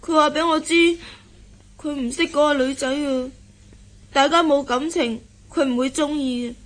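A woman's voice: high-pitched, steady tone, moderate pace, natural voice. An actress playing a shy, lovesick young daughter in a radio drama, hesitant and stammering as she hides her feelings. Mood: hesitant, upset. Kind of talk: Cantonese radio drama acting.

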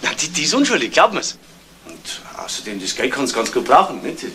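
Lively, quick-talking Bavarian young-cop type, noisy. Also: male voice, medium pitch. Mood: defensive, flustered. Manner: colloquial, stammering, defensive. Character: good-natured, flustered, soft-hearted male junior policeman. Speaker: actor. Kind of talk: 1970s German radio crime drama acting. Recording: noisy old 1970s radio play recording.

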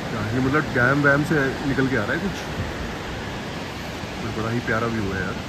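A man's voice: mid-range voice, moderate pace. A man chatting casually and enthusiastically to viewers as a travel vlogger, mixing in English words. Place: outdoors on a mountain trip. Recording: outdoor noise with vehicle sounds.

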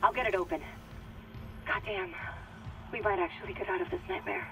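A woman's voice: mid-range voice, brisk, over loud music, natural voice. A woman playing a hard-bitten, cynical spaceship crew officer, blunt and naturalistic, for a video game. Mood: brisk, relieved. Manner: blunt, sardonic. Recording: distant comms call, noisy background.

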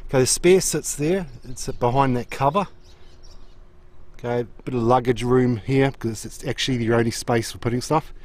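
Man, mid-pitched voice, casual unscripted commentary, matter-of-fact, plain-spoken, outdoors on handheld camera. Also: moderate pace, darkish tone. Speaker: car auction agent presenting a walk-around. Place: outdoors in an open car yard.

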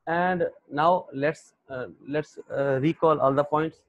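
A young Pakistani man with a Pakistani accent, lecturing an online English class in a halting way with many fillers. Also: on the high side, fairly even intonation, no echo. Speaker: English teacher. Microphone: computer mic on a video call. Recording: dull lo-fi video call audio.

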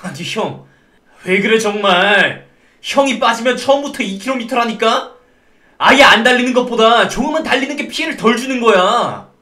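A man's voice: very high-pitched, lively intonation, normal speed, bright, forceful tone, roomy sound, full character voice. A man voicing an earnest younger man who urges and pleads with an older friend. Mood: exasperated. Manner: urging, pleading.

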